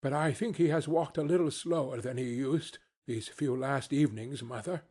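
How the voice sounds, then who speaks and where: A man voicing a dutiful young son of a poor family in a storybook reading, quiet and earnest. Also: medium pitch, moderate pace, husky. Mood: subdued.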